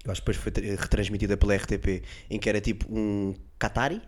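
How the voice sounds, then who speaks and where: A man's voice: on the low side, fast talker. A man with a European Portuguese accent co-hosting a podcast, chatting casually and telling comic stories with big laughs. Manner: animated, laughs loudly at his own stories. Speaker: podcast co-host. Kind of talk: casual comedic chat.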